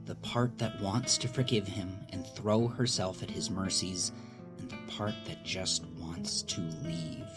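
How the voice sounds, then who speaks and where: Male narrator for dramatic audio fiction, very deep voice, plucked guitar music underneath. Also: fairly even intonation, a little slow.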